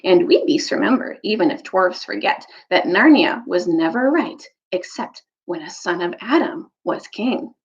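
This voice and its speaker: A woman's voice: on the low side, big pitch swings, normal speed, hardly any pauses, highs cut off. A woman voicing a loyal, earnest old storybook badger who speaks emphatically and insistently.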